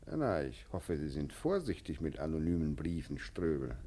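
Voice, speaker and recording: Casting: lofty, evasive police chief in satirical radio play; commanding, brusque, very lively intonation. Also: male voice, very deep voice, moderate pace, husky, full character voice. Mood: brisk, official. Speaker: actor. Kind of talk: German radio play acting, satirical comedy.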